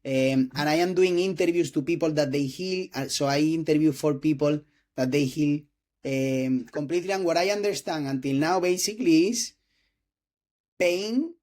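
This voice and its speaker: A man in his twenties from Spain, speaking English with a Spanish accent as a non-native speaker, hosting an interview with halting restarts and frequent throat clearing. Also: on the high side, a little mellow. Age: 20s. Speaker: host interviewing a doctor. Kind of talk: casual interview talk.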